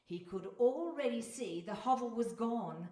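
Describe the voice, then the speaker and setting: Highish woman storyteller in echoey hall. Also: expressive, unhurried, a little mellow. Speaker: narrator. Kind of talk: scripted storybook narration. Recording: echoey hall, distant microphone.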